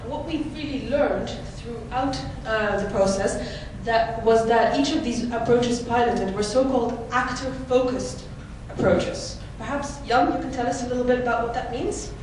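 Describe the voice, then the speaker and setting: A woman from the Netherlands giving a conference presentation, explaining clearly with occasional fillers. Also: a little high, moderate pace, hardly any pauses, darkish tone. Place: large conference hall. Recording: echoey hall, mic from far off, noisy.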